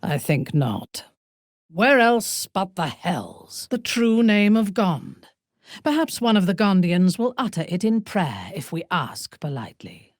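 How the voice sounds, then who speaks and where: A ruthless dark elf noblewoman from a fantasy video game. Her low voice moves slowly and deliberately, swinging widely in pitch. She is imperious and sardonic, with formal diction and cool menace. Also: big pitch swings, no room sound, studio quality. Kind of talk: video game character voice acting.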